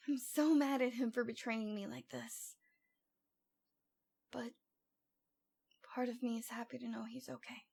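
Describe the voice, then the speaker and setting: High girlish voice, woman acting as playful yandere stalker girl, lovesick, pouty, dramatic, unrepentant, audio roleplay. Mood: flustered, lovesick.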